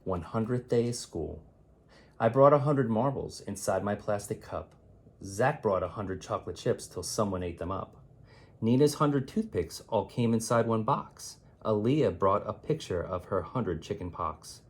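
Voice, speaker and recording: Male storyteller for a kids' picture book: lowish, husky voice, theatrical delivery, stretched key words. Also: animated, measured pace, darkish tone. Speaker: teacher reading a picture book aloud. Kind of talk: expressive children's read-aloud of rhyming poems.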